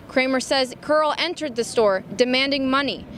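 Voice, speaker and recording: Female American TV reporter, young, scripted news delivery, higher pitch, noisy location sound. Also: moderate pace, a bit bright.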